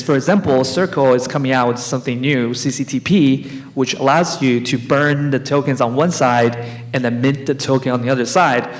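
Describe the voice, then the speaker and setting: A man's voice: mid-range voice, rough recording. Man explaining on a conference panel. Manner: explanatory, tags points with "right?". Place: conference hall.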